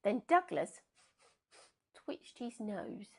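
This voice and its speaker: A woman reading a children's storybook aloud in an expressive, unhurried and dramatic way. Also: medium pitch, creaky, husky.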